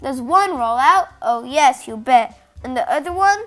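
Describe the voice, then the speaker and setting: A child reading a picture book aloud in an expressive storytelling style. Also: sits high, normal speed, soft background music.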